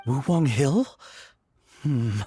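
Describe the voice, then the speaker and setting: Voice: medium pitch, lively intonation, warm tone, slightly rough, dry recording, full character voice. An anxious, haunted young man. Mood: worried. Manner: hesitant, halting, worried. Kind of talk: game voice acting. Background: game music with flute.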